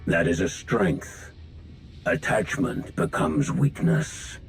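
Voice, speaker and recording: A man playing an imprisoned dark-lord villain in a video game, grand, menacing and contemptuous, speaking in slow, solemn declarations with a deep, gravelly voice. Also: faint music behind, full character voice. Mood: cold disdain.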